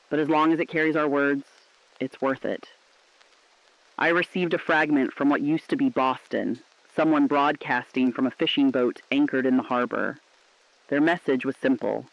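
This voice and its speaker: A man's voice: medium pitch, no echo, lo-fi sound, lightly acted. A man playing a weary but hopeful broadcaster in an underground relay, speaking in a solemn, poetic, reflective style. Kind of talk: scripted apocalyptic radio broadcast narration.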